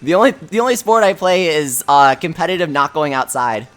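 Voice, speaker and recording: A man hosting a game livestream with a high voice, answering viewer questions in a chatty, offhand way full of fillers. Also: lively intonation, brisk, a bit bright. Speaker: livestream host. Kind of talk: casual livestream chat, reading viewer questions.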